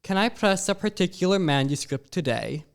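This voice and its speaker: A man's voice: high voice, expressive, moderate pace, creaky, clear, resonant tone. A man playing a fussy old-world book printer who speaks with courtly, old-fashioned phrasing. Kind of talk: amateur audio drama.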